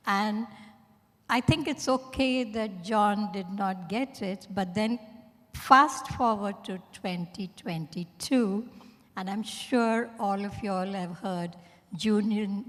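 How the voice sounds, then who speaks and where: A woman telling anecdotes conversationally as a speaker at a live literary event, chuckling as she talks. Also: medium pitch. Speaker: book editor, panel speaker. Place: large indoor event hall. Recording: echoey hall with long reverb.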